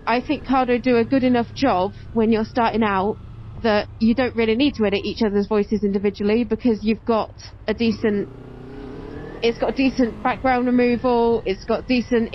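A woman's voice: a little high, fairly even intonation, brisk. Motovlog host: woman, casual and explanatory, dull helmet-mic sound with engine and road noise behind. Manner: chatty, explanatory. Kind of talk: casual vlog chat while riding. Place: on a motorbike on open roads. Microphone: helmet intercom mic.

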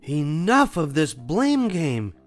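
A man voicing an exasperated mother bear in a storybook reading, stern and shouting. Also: on the high side, very animated, takes it slowly, soft background music, voice pushed up.

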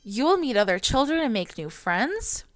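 Sweet encouraging preschool teacher lady, high n sing-songy, kids book read by a woman. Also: very animated, normal speed, music in the background. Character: kind, reassuring, upbeat female preschool teacher. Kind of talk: picture book character reading.

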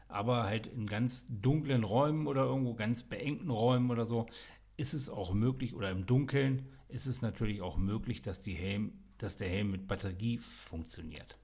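A man's voice: medium pitch, vocal fry. A man reviewing a welding helmet in a casual, practical tone, with frequent fillers. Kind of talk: product review voice-over.

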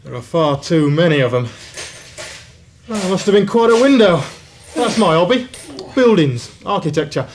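A man's voice: high-pitched, big pitch swings, normal speed, a little hiss or hum. An actor playing a stolid, plain-spoken policeman, dry and musing, in a radio comedy. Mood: amused, musing. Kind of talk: radio comedy drama acting.

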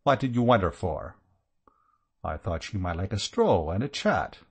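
A man voicing an irate, sharp-tongued aristocratic aunt in an audiobook, scolding with scathing exasperation. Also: deep voice, big pitch swings, moderate pace, husky, heavily acted. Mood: sardonic. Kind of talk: audiobook character voice.

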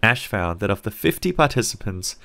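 A young man from Singapore, speaking with an Australian accent, narrating a scripted psychology lesson in a clear, conversational and lightly wry way. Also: on the low side, very animated, fairly quick, bright, forceful tone. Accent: Australian English, learned as an adult. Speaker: psychology teacher.

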